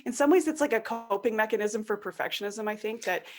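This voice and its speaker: Female podcast guest, conversational and informal, medium pitch, slips animatedly into quoted asides. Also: fast talker. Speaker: podcast guest, online course creator and tutorial maker. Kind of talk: casual conversational interview.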